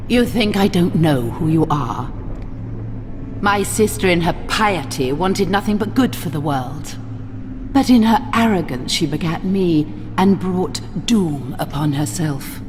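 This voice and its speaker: A woman playing a seductive, imperious vampire queen in a video game, cruel, mocking and theatrical, slipping into breathy whispers. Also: on the low side, animated, a little slow. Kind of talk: dramatic video game cutscene acting. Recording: loud scary music behind the voice. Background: loud ominous music.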